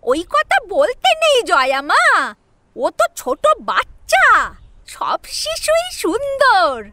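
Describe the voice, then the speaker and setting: A woman playing a gentle, kindly woman who coaxes a child, in a dramatised audio story. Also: very high-pitched, wildly swooping pitch, slow, slight background noise, pitched above the performer's own voice, heavily acted. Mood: tender, soothing. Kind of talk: audio story character acting.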